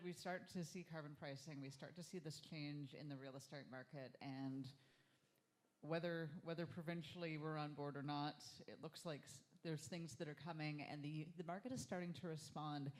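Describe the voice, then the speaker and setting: Panel moderator, mid-range voice, long multi-part questions, brisk. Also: medium pitch, a little mellow. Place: university event room.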